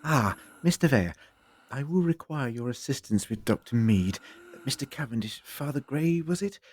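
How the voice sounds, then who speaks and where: A man's voice: mid-range voice, animated, normal speed, natural voice. A man playing an earnest, inquisitive time-travelling doctor in an audio drama, grave and precise in his explanations. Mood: grave, explaining. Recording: loud music under the voice.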